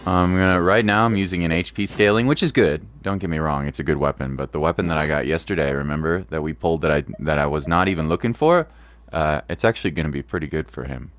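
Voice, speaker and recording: A man's voice: very deep voice, fast talker. A man hosting a gaming livestream, chatting casually and thinking aloud in an informal, rambling way as he comments on his play. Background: game music.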